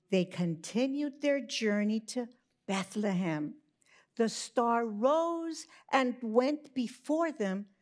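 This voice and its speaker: A woman narrating a scripted Christmas story for children, expressive, with drawn-out emphasis. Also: medium pitch.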